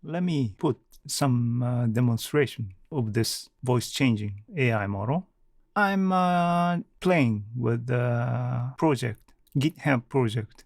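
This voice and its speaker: A man hosting a podcast, talking casually and haltingly about technical things in non-native English phrasing. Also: medium pitch, darkish tone, no room sound, studio quality. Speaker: podcast host. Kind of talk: casual solo tech talk.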